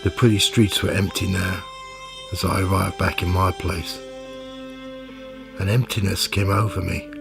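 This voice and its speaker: Man playing a slow, weary, creaky-voiced narrator. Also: deep voice, little pitch movement. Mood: reflective, melancholy. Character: weary, reflective, nosy investigator. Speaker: narrator and lead actor in a radio play. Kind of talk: scripted first-person radio drama narration. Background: music.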